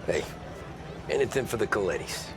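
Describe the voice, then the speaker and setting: An actor playing a modest, easygoing young American mob driver with a casual, laid-back delivery in a video game cutscene. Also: male voice, lowish voice, expressive, moderate pace, a crowd in the background, background noise. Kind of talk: cinematic video game acting.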